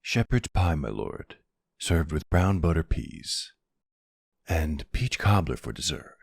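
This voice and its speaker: A man's voice: extremely low pitch, sing-song, takes it slowly, vocal fry, slightly rough, no echo, clean studio sound, heavily acted. A man voicing a formal, obliging manservant of a vampire household in an audio roleplay, proper and deferential. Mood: polite, eager.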